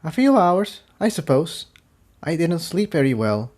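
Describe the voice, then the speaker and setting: A man's voice: a little high, very animated, measured pace, a little mellow, pitched above the performer's own voice. A man voicing a subdued, practical female winged pony with a plain-spoken manner in a story reading. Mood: tired, resigned.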